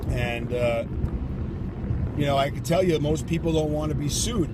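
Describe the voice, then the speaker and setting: A man's voice: fairly high pitch, normal speed, heavy background noise. A man giving child support advice in a casual, rambling monologue, combative in tone and full of "you know". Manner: combative, repeats himself, heavy with "you know".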